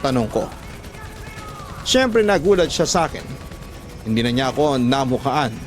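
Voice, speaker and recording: A man's voice: medium pitch, very animated, a little slow. Filipino man narrating drama over music. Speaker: radio drama host and narrator. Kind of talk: scripted dramatic narration of letter-sender stories.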